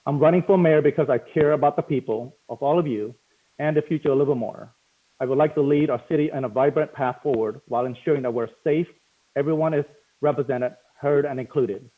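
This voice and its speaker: Fast-talking man on a call. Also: a little high. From Cambodia. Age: 40s. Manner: earnest, reads steadily with brief fillers. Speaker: mayoral candidate. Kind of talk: prepared opening statement. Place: home office on a video call. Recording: tinny phone-like video call audio.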